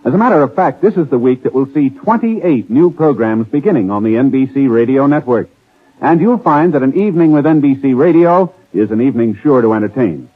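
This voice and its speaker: A man giving a formal network announcement and credits in crisp, 1950s announcer style. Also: medium pitch, expressive, brisk. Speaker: radio announcer. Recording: tinny old radio broadcast with music.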